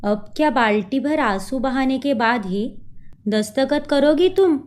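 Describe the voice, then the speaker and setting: A woman's voice: sits high, lively intonation, unhurried, a little mellow. A woman voicing a cold, scheming young woman who mocks and bosses others around, slipping in English words. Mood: scornful. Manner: taunting, bossy, mixes in English words.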